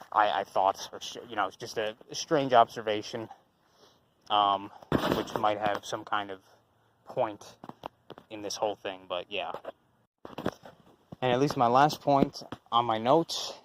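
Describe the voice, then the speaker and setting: A man's voice: medium pitch, background noise. A young man giving an unscripted commentary response, rambling with many fillers and restarts and swearing casually. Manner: casual and profane. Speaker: channel host.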